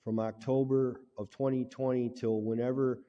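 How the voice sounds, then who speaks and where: A man speaking as a caller lodging a formal complaint, in an emphatic, halting, legalistic way, on a muffled and reverberant recording. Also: mid-range voice, flat delivery, warm tone. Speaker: caller to a government agency.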